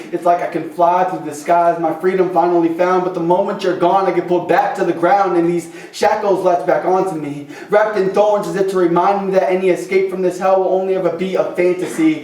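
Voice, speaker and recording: High-voiced man performing impassioned slam poetry. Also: a little mellow. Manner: impassioned, dramatic pauses, rhythmic rhyming delivery. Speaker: slam poet. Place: open mic venue with a small responsive audience. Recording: echoey live room, distant microphone.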